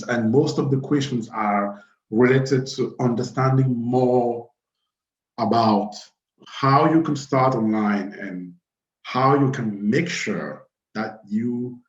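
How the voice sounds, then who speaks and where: A man in his thirties from Cameroon hosting an informal live webinar, speaking haltingly and repeating phrases. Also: mid-range voice. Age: 30s. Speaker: webinar host. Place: small plain room indoors. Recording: roomy, dull webcam audio.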